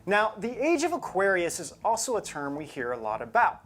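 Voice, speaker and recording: A woman co-hosting a show in a casual, expressive, semi-scripted conversational style. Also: mid-range voice, fairly quick. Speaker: co-host.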